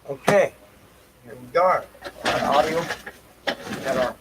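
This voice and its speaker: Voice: mid-range voice, unhurried. A person rambling casually and bluntly to themselves, with some swearing, on a noisy amateur recording. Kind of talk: casual rambling talk, ranting.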